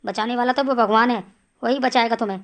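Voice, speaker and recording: A woman voicing a calm, fatherly male holy man who speaks solemnly in an animated horror story. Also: a little high, fast talker, close to an everyday voice.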